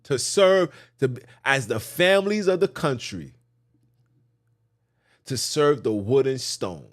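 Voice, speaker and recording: Animated man preaching with big pitch swings. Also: slow pace. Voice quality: voice jumps high for emphasis. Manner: animated, emphatic, repeats phrases, swings between loud and hushed. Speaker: podcast host. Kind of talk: preachy monologue.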